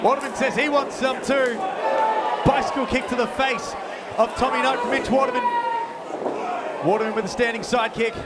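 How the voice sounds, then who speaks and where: Man, high-pitched, excitable play-by-play wrestling commentary, bantering, very noisy crowd behind. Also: moderate pace, long pauses. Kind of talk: live sports commentary.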